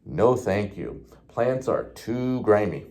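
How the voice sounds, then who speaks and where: Man playing a fussy, serious duck, mellow-voiced. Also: lowish voice, expressive. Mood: polite refusal. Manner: serious, refined, drawn-out refusals. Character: male duck, serious, sophisticated, fussy pancake lover.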